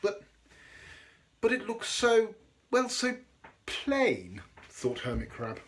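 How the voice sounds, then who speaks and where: Male storybook reader, slightly high voice, swooping intonation, dramatic pauses, chatty asides. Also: huge pitch swings, a little hiss or hum. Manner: dramatic pauses and emphasis for young listeners. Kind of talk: expressive children's read-aloud with chatty asides.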